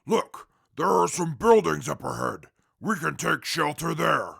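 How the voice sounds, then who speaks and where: Man acting a very gravelly, cold wasteland cynic. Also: fairly high pitch, expressive, a little slow, a bit bright, no echo, heavily acted. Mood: dry, sardonic. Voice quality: gravelly, husky. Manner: curt, dry, callous. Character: gruff, cold, sardonic, short-tempered male wasteland traveller. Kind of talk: post-apocalyptic audio drama acting.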